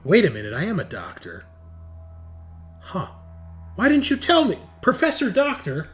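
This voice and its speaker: A man's voice: high voice, wildly swooping pitch, a bit bright, faint music behind, voice pushed up, exaggerated voice. A man voicing a nervous, absent-minded scientist doctor in a tabletop game, hesitant and stammering. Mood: indignant surprise. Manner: halting, stammering, hedging.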